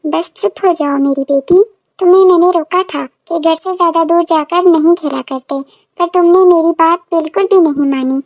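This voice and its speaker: Woman playing high-voiced anxious loving mother. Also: moderate pace, a little mellow, no room sound. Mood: soothing, scolding. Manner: fretful, tender, repetitive calling. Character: anxious, loving, gently scolding village mother. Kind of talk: children's cartoon story acting.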